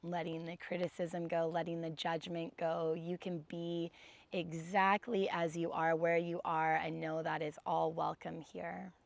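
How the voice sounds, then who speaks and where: Woman, yoga teacher, raspy, lowish voice, dry recording. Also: a little slow, no room sound. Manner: calm, gentle guidance with soft sighs. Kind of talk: guided yoga class.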